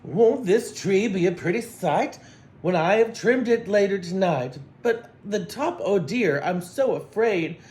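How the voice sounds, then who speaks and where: A man voicing a gentle, houseproud upstairs maid in a storybook, dainty and fretful. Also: sits high, animated, measured pace, voice pushed up. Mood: delighted, then anxious.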